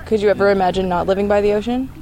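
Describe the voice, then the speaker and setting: A woman's voice: medium pitch, steady tone, very fast, a crowd in the background. A young woman making an audio documentary, politely stopping people on an outdoor beach with short friendly questions. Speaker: interviewer, documentary maker. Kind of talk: vox pop interviewing.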